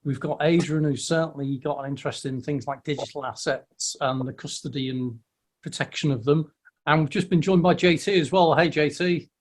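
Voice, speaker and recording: A man's voice: on the high side, fairly quick. A man hosting a casual meetup over a video call, friendly and welcoming, often stumbling and restarting his words. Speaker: host of a weekly online meetup.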